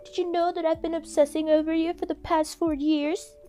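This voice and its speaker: A woman's voice: very high voice, little pitch movement, brisk, mellow, pitched above the performer's own voice. A gushing, lovesick girl in mock romance. The voice is theatrical and swooning, lifted far above normal speech, with music behind. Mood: swooning mockery. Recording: music under the voice.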